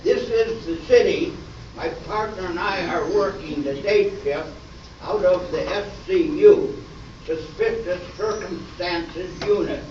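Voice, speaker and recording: A by-the-book police sergeant acted by a man in a radio-play parody. The voice is high for a man and very slow, with deadpan delivery, caught in a muffled, echoey room. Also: far from the mic, slight background noise. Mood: businesslike. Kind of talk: amateur stage radio play, narrated police-drama parody.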